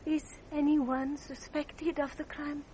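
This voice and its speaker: High-voiced, anxious young mademoiselle. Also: female voice, level tone, takes it slowly, mellow. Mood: anxious, polite. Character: polite, anxious beautiful young French mademoiselle. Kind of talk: radio drama acting.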